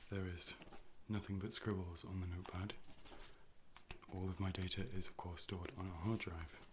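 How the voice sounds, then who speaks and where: Man playing a low, monotone AI optician. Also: background noise, close to an everyday voice. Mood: calm, clinical. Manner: deliberate, measured, formal, deadpan robotic phrasing. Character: calm robotic AI hologram optician, clinical and polite. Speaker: ASMR role-play performer as optician. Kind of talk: ASMR medical role play, personal attention.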